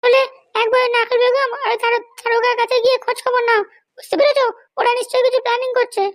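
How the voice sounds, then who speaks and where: A man's voice: extremely high pitch, level tone, racing, a bit bright, no room sound, clean studio sound, voice pushed up. A man voicing a bossy, scheming woman politician in an amateur comedy cartoon. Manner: boastful, commanding.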